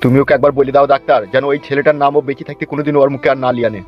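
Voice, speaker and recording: A dull recording with music behind carries a man playing a coarse middle-aged village father from a cartoon. He scolds very fast, full of shame and anger. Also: medium pitch, fairly even intonation, lightly acted. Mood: ashamed.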